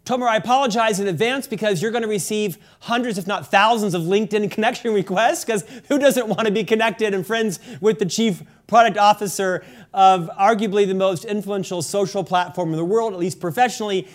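A man's voice: sits high, a little fast, few pauses. A man hosting an interview podcast, speaking in a polished, formal and wordy presenter style. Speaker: podcast host. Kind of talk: scripted podcast introduction and interview questions.

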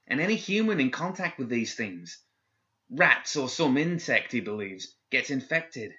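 A man's voice: medium pitch, very animated, measured pace, bright, forceful tone, lo-fi sound, pitched above the performer's own voice. A man voicing a nervous young male guard who stammers and hesitates as he reports.

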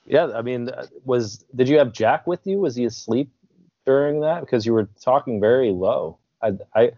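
A man's voice: mid-range voice, normal speed, dark tone, no room sound, highs cut off. Stammering mock-serious comic man. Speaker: podcast co-host. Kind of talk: comedic casual chat.

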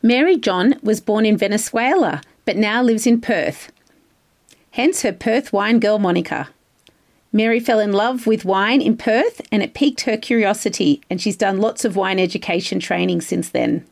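A woman's voice: mid-range voice, normal speed, gravelly, darkish tone. A woman with an Australian accent hosting a podcast, upbeat and bubbly in her interviewing. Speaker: podcast host.

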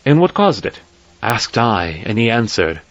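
A man's voice: lowish voice, very animated, unhurried, exaggerated voice. A man playing a courteous, curious broker who narrates his story in formal archaic English for an audio drama. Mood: astonished. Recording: dull lo-fi sound, faint music behind.

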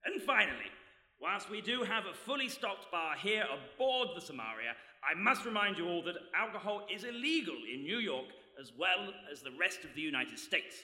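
An actor playing a bumbling, nervously jovial ship's captain in a live comedy radio play, stammering through formal announcements. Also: male voice, high-pitched, very animated, normal speed, pushed and bright, heavily acted. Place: stage in a hall before a live audience. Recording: echoey hall, live stage recording.

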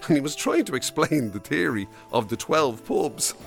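A man's voice: a little high, very animated, moderate pace, vocal fry, soft background music. A man with an Irish accent co-hosting a breakfast radio show in casual, bantering chat, often circling back over his words. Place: radio studio.

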